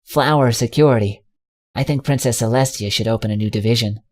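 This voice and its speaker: A gentle, dreamy stallion pony, as a man reading a story plays him speaks softly and earnestly. His voice stays at a middle pitch, wistful and unhurried. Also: lightly acted.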